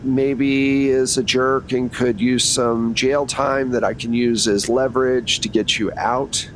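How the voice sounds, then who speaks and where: A man's voice: fairly even intonation, slow pace, a bit bright. A man playing a tired, frank public defender in a tabletop game, casual and a little hesitant. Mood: pragmatic. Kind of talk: improvised tabletop roleplay. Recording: rumbly background noise.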